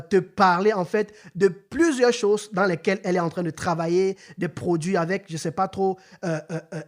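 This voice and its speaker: A man hosting a casual podcast interview, often stumbling over repeated words and filler phrases, now and then rising into an emphatic rallying tone. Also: high voice. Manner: hesitant, repeats words and says "en fait" often. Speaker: podcast host.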